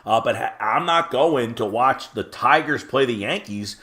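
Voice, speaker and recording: A man's voice: mid-range voice, animated, unhurried, sharp tone. A man in his late forties co-hosting a sports podcast, giving rambling opinions with plenty of fillers. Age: 40s. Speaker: podcast co-host. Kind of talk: sports media podcast chat.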